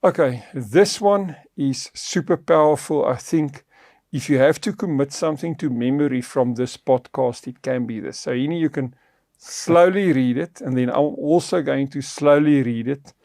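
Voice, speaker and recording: Gravelly, mellow man. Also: mid-range voice, moderate pace, no echo, studio quality. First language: Afrikaans. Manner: earnest, preacherly, teaching tone. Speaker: podcast co-host.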